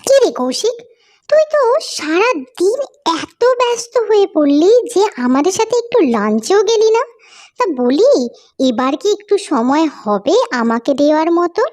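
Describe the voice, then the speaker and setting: A woman's voice: very high-pitched, big pitch swings, normal speed, darkish tone. An actress playing a young woman doctor in a cartoon horror story, worried, irritable and dramatic. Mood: puzzled. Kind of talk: acted Bengali cartoon horror story dialogue.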